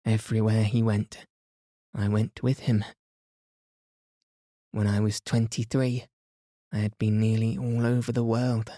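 A reserved young Englishwoman speaks in measured, composed sentences, her manner grave and quietly authoritative. The tone is dark and mellow, and the recording is very dry and close, as a man reading the story puts her on. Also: on the low side, level tone, no echo. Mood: reflective.

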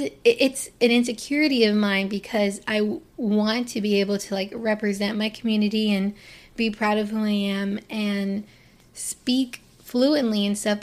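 Chatty young woman rambling to camera. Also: medium pitch. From Florida, US. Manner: chatty, rambling, many "like" fillers and restarts. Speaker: vlogger. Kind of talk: casual personal talk to camera.

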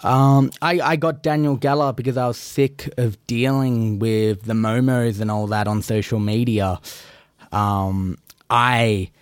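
A man's voice: mid-range voice, steady tone, measured pace, smooth, no room sound, studio quality. A man on a podcast, boasting arrogantly as a wrestling persona. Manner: boastful, arrogant, self-aggrandizing. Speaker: wrestler guest in character.

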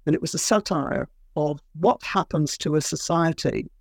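A woman's voice: low-pitched, moderate pace, gravelly, dry recording, clean studio sound. A woman, an author being interviewed, who answers thoughtfully and hesitantly with many fillers and restarts. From Britain. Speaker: author and guest.

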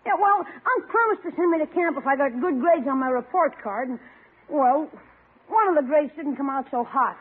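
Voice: high-pitched, expressive, moderate pace, phone-quality sound. A performer playing a scheming, whiny young schoolboy who pleads and exclaims in 1940s radio comedy style, on an old broadcast. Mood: coaxing.

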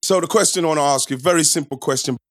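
A man hosting a casual football podcast, laid-back and affectionate, quick with short agreements. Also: fairly high pitch, no echo, studio quality. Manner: laid-back, affectionate, lots of "yes" and "nice one". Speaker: podcast host.

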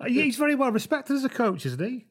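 A man's voice: extremely high pitch, very animated, brisk. A man co-hosting a sports podcast, talking in casual, informal chat. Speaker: podcast co-host.

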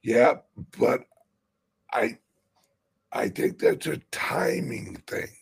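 A man with a raspy voice hosting a casual political chat on a crypto show, talking emphatically and restarting often. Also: mid-range voice, huge pitch swings, drawn-out words.

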